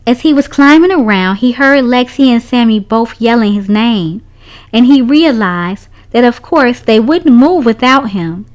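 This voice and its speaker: Animated, higher-pitched woman reading a storybook. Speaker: children's storybook reader. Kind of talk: read-aloud story narration.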